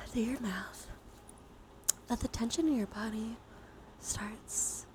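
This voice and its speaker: A woman leading a guided ASMR relaxation, speaking softly and mostly in whispers, with a soothing, gentle manner. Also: fairly high pitch, slow pace. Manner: soft, mostly whispered delivery. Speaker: live streamer leading relaxation exercises. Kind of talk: ASMR guided breathing and body scan with chat asides. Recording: clear background noise.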